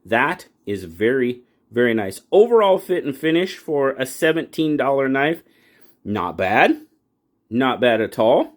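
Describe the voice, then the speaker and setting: A man's voice: mid-range voice, sing-song, a little slow. A man hosting his own channel and reviewing a pocket knife in a casual, folksy way, rambling and restarting his sentences often. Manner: rambling, folksy, self-correcting with frequent restarts. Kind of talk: casual product review talk.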